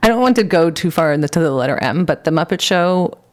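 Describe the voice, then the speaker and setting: A woman with a deep voice co-hosting a radio show, chatting casually and laughing often. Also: expressive, fast talker. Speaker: radio co-host. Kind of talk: casual on-air chat.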